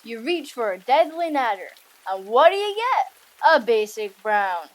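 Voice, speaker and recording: A woman voicing an unheroic, self-doubting young Viking boy in an audiobook, expressive and self-deprecating. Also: a little high, measured pace, poor audio. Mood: glum, resigned. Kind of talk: audiobook character acting.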